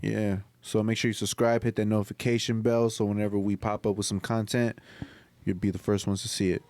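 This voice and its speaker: A man with a fairly low voice talks as a podcast co-host. The sound is dry, with little room around it. His talk is casual, full of slang and swearing. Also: little pitch movement, a little fast, a little mellow, no echo. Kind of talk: casual chat, slangy and profane.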